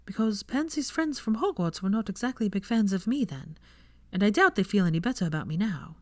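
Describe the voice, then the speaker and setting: Casting: bantering young witch for an audio story, lifted pitch, prim and pointed played by a female reader. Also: very animated, brisk, a little mellow, no echo, natural voice. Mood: wary.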